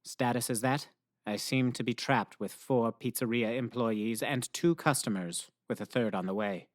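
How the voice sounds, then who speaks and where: An actor playing a pompous, awkwardly formal survivalist nerd in a radio play, with stilted, over-precise diction. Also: male voice, mid-range voice, normal speed, close to an everyday voice. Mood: solemn log-keeping.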